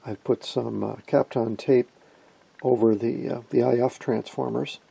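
A man giving a casual, colloquial technical explanation as host of a repair video, talking haltingly. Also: medium pitch, fairly even intonation, creaky, warm tone, slightly rough, no echo.

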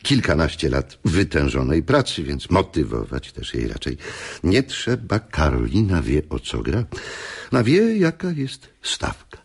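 An actor playing a scheming, easily flustered chess coach in a radio drama, stammering and repeating himself. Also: male voice, very deep voice, huge pitch swings, measured pace, gravelly, slightly rough, full character voice. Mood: composed, evasive. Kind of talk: Polish radio drama acting.

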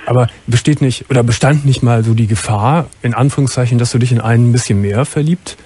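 A man's voice: mid-range voice, fast, dark tone, slight background noise. A middle-aged man hosting a late-night radio call-in show from a studio, asking probing, blunt questions with a wry edge. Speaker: radio host. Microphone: headphones, studio mic.

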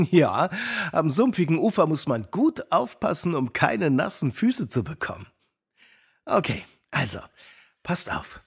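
Friendly adult mentor in kids' radio play; husky, animated, encouraging. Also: male voice, on the high side, measured pace, exaggerated voice. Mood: friendly, storytelling. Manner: calm, encouraging, lively storytelling. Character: Mike, friendly protective grown-up male storyteller. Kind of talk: children's audio drama acting.

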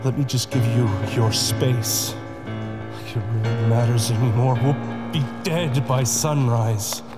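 Man acting a slow-talking, weary castaway survivor. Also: medium pitch, steady tone, big-room sound, loud music behind the voice, natural voice. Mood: angry. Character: weary, protective male castaway fighting to survive monsters. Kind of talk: dramatic audio horror acting.

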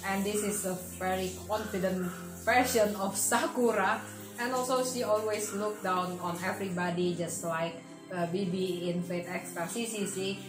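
A woman's voice: medium pitch, fairly even intonation, takes it slowly. A young adult woman giving casual reaction commentary as a video host, speaking into a desktop mic indoors. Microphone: desktop condenser mic on arm. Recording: roomy echo, music behind.

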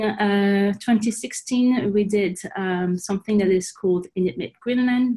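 A middle-aged woman giving an informal, halting scientific presentation over a video call, explaining as she goes. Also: medium pitch, dark tone, poor audio. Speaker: climate research presenter. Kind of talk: online scientific presentation with slides.

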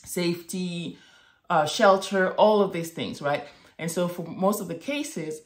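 A woman's voice: on the low side, measured pace. A woman in her thirties from Morocco, talking thoughtfully to camera in a home office, often checking in with "right?" and "okay?". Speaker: vlogger. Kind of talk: reflective casual monologue to camera. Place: home office with bookshelves, indoors. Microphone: webcam at desk distance. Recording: echoey room.

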